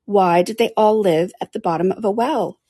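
A woman's voice: mid-range voice, normal speed, mellow, husky, no room sound, studio quality, close to an everyday voice. A woman voicing a curious, polite but easily offended young girl in a storybook. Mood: questioning.

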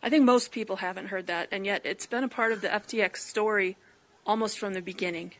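A woman's voice: mid-range voice, a little fast. A woman hosting a podcast, discussing politics in conversation. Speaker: podcast host.

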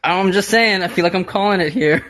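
A man's voice: high voice, a little fast, a bit bright. A man calls in to a podcast and chats freely. He jokes as he goes and trips over his phrasing, backing up and trying again in a loose, friendly way. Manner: chatty, jokey, stumbles and restarts. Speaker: caller. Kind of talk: casual call-in chat.

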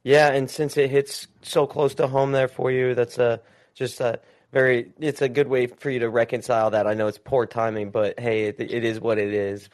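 A man's voice: medium pitch, level tone, normal speed, darkish tone. A man hosting a casual call-in interview, friendly and supportive, backing the guest up with frequent "yeah" and "uh-huh". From United States. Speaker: interview host.

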